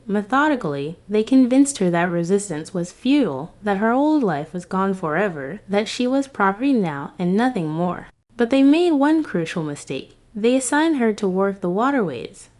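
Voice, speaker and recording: Woman narrating grave history, measured pace. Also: medium pitch, a little mellow.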